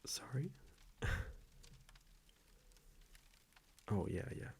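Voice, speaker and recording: A man playing a gentle, confiding vampire boyfriend in an intimate ASMR roleplay, speaking hushed and hesitant and often slipping into a whisper. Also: measured pace, close to an everyday voice. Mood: sheepish, confiding.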